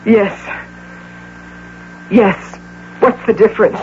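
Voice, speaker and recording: Actress as proud, anguished woman, slow and theatrical. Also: medium pitch, a little mellow, natural voice. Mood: defiant. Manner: theatrical, impassioned, rhetorical questioning. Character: proud, anguished aging businesswoman clinging to fantasy. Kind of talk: old-time radio drama acting. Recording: dull, noisy old radio broadcast.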